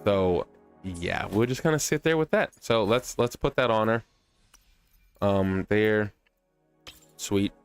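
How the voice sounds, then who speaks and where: A man's voice: lowish voice, slow, pauses often. A man streaming a video game with casual, chatty commentary, playful slang and a self-deprecating streak. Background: music.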